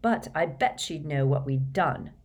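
A woman's voice: deep voice, animated, unhurried, a little mellow, slightly rough, exaggerated voice. Woman voicing a sly, muttering teenage prankster boy. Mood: conspiratorial. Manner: muttering out of the corner of the mouth.

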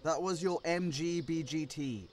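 An actor playing a weary adult son in an audio play, dry, halting and apologetic. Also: male voice, fairly high pitch, a little mellow, a little hiss or hum, lightly acted. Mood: apologetic, exasperated.

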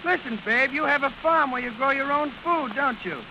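A man's voice: very high-pitched, normal speed, lo-fi sound. A man delivering teasing, scripted banter in an old-time radio comedy. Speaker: baseball player performing on radio. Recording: hissy old radio recording.

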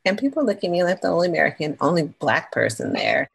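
Woman podcast guest, low husky gravelly voice, animated and slangy storyteller. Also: fast talker. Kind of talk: casual interview chat.

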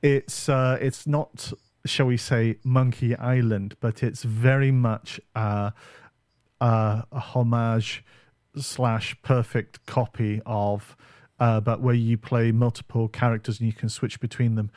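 A man's voice: mid-range voice, level tone, slow, darkish tone, no echo. Rambling man, dry close sound. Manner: rambling, lots of ums and uhs. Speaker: podcast co-host. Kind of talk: casual gaming chat. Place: home setup on camera.

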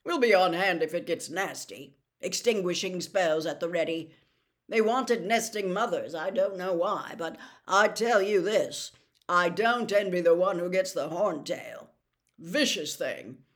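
A woman reading an audiobook voices a brisk young man who keeps dragons, firm and matter-of-fact. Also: on the low side, lively intonation.

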